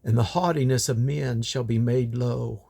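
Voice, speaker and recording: A man's voice: medium pitch, steady tone, normal speed, gravelly, dark tone, husky. A man reading Bible scripture aloud in old King James English, in a solemn, declamatory manner. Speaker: Bible reader.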